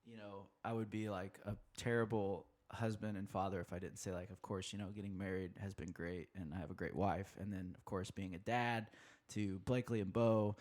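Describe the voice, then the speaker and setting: Relaxed man in his thirties, rambling. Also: deep voice, brisk, few pauses. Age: 30s. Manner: relaxed, rambling with many fillers and restarts. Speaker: podcast guest. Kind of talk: casual conversation.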